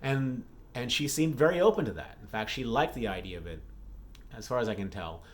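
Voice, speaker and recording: A man's voice: medium pitch, expressive, fairly quick. A man talking casually in a solo vlog update as an author, rambling and thinking aloud as he goes. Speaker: author and vlogger. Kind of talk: casual chat, business update monologue.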